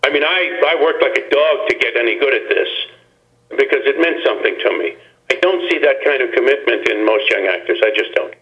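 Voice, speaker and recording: An older actor talking as a guest in a phone-in interview, reflective and rambling, with many restarts. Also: male voice, medium pitch, lively intonation, fairly quick, a bit bright, lo-fi sound.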